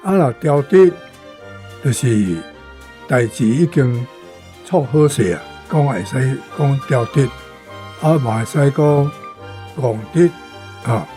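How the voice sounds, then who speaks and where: An older man who works as a language expert explains the meaning of words. He goes very slowly and deliberately, taking them one at a time. His tone is mellow and warm at a middle pitch. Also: expressive, soft background music. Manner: slow, deliberate, word by word. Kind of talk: explanatory lesson.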